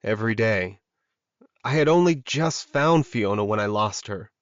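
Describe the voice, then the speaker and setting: A man voicing a reserved, steady werewolf alpha in an audiobook, speaking in a measured and solemn manner. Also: lowish voice, no room sound, dull audio. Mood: grieving, proud. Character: reserved, steady male werewolf alpha, grieving yet supportive.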